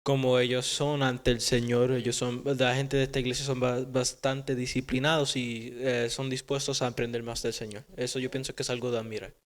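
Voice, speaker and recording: A teenage male podcast guest with a Puerto Rican accent, halting as he searches for words. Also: mid-range voice, little pitch movement. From Puerto Rico. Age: teens, sixteen.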